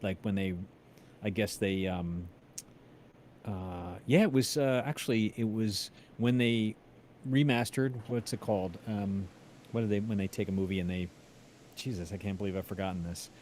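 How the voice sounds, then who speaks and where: Male co-host, low voice, enthusiastic slangy storyteller, conversational stop-start delivery, some noise in the room. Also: normal speed, darkish tone. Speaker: co-host of a music interview show. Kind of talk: casual chat, enthusiastic storytelling.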